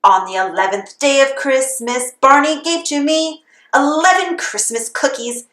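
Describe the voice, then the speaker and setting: A woman's voice: sits high, unhurried, fairly bright tone, echoey room. A woman reading a children's storybook aloud in the sing-song manner of a Christmas carol. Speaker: storybook reader.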